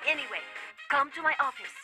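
A woman's voice: high voice, a little fast, over music, natural voice. An actress playing a flirty, flippant female agent for an assassins' association in a video game, breezy and teasing. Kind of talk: video game cutscene acting.

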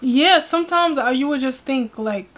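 A woman's voice: sits high, normal speed, crowd noise. A young woman co-hosting a reaction video at home, chatting casually and pressing her partner with questions. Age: young adult. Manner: animated, opinionated, questioning. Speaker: reaction channel co-host. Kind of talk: casual chat. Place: home room with bookshelf.

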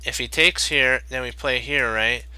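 Bright-voiced man thinking aloud over chess. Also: mid-range voice, moderate pace, clear, resonant tone, no echo, rough recording. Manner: thinking aloud, addresses viewers as guys. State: voice getting croaky. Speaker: chess streamer. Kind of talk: live chess puzzle commentary.

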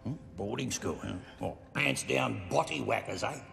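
A gravelly Australian Outback killer. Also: male voice, medium pitch, lively intonation, a bit bright. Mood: mocking amusement. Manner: taunting, slow, drawling menace with cruel laughs. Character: menacing middle-aged male Outback killer, sadistic and mocking. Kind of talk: film drama acting. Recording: echoey hall with film score.

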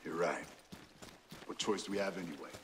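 An actor playing a hot-tempered, wisecracking mercenary sidekick in an action video game, profane and streetwise. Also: male voice, sits low, brisk, a little hiss or hum. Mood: uneasy. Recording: loud game ambience and effects.

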